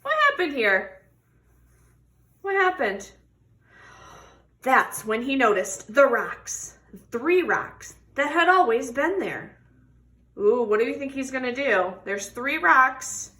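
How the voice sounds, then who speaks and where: Bright, slow-paced woman reading a picture book. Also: high-pitched. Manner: expressive, drawn-out emphasis for young children. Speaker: read-aloud storybook reader. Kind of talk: animated children's picture book read-aloud with questions to listeners.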